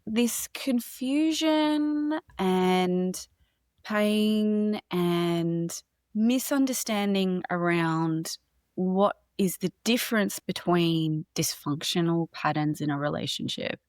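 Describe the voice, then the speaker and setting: A woman hosting a podcast, reading an upbeat scripted intro and then interviewing with emphatic, drawn-out phrasing. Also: on the low side, no room sound, studio quality. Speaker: podcast host.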